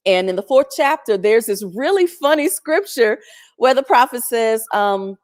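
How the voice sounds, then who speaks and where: A woman telling a personal story in a chatty, animated way, speaking over a video call in a strong, loud voice. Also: fairly high pitch, a little slow. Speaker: featured guest. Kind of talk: storytelling testimony.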